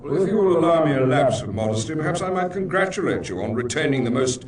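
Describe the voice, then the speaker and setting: An actor playing a courteous, self-satisfied academic gentleman in a radio drama, pompous and flattering. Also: fairly high pitch, fast talker, lightly acted. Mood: smug, courteous. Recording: crowded reception chatter behind.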